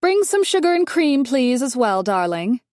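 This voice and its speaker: A woman's voice: sits high, sing-song, moderate pace, a little mellow, no echo, clean studio sound, pitched above the performer's own voice. A woman voicing a wealthy older mother-in-law who talks in a sugary, condescending way, calling everyone dear and darling. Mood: sweetly condescending.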